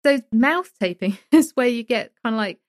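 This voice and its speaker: A woman, co-hosting a podcast and telling personal stories in a relaxed, confiding way. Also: a little high, moderate pace, no room sound, clean studio sound. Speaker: podcast co-host. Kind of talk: casual book discussion chat.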